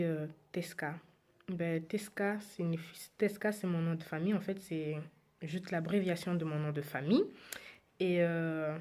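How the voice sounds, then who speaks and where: A woman's voice: fairly low pitch, a little mellow. A woman in her twenties who grew up in Belgium and is a native Lingala speaker, casually introducing herself and answering followers' questions, repeating phrases for emphasis. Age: 20s.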